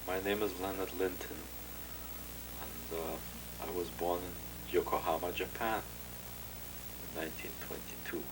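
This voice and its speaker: An older man giving a measured, recalled testimony as an interviewee, with frequent pauses and filler sounds. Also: deep voice, fairly even intonation, background noise.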